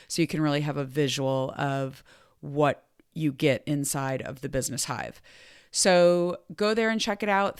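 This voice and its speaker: A woman in her forties hosting a podcast, upbeat and chatty, her voice still hoarse from being sick. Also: low voice, no echo, studio quality. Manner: upbeat, chatty, self-promoting. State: hoarse after illness. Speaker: podcast host. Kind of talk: podcast intro and promo.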